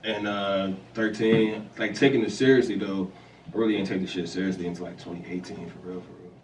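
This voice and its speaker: A man of thirty, a rapper guest, giving relaxed answers that trail off into fillers. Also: low voice, brisk, echoey room, recorded from a distance, noisy background. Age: 30s. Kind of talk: casual interview chat.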